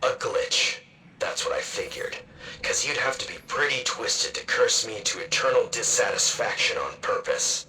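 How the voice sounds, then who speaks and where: A man's voice: on the low side, very animated, normal speed, pushed and bright, echoey room, far from the mic, a little hiss or hum, exaggerated voice, low-quality recording. A man playing a bewildered, wry android in conversational game dialogue, questioning and colloquial. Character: bewildered, wry male android craving human food.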